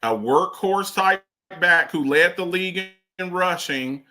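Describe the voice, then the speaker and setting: Bright, animated man debating football. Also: high voice, unhurried, faint music behind. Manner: animated, repeats and restarts, presses with questions. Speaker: sports talk host. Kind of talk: casual sports debate.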